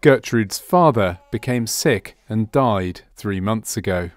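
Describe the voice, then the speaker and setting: A man narrating a medical training film from a script, in a measured, clinical and factual way. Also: lowish voice, animated, no echo. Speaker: documentary narrator.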